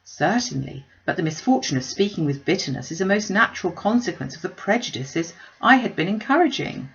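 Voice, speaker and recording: A woman's voice: on the low side, big pitch swings, fairly quick, lo-fi sound. A woman voicing a witty, self-possessed young lady in a classic novel reading, wry, articulate and emphatic. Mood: serious, decided.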